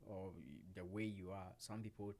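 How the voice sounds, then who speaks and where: Man co-hosting a podcast, fairly low voice, candid storyteller, casual talk. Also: normal speed, darkish tone. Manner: storytelling, candid, asks questions, laughs easily.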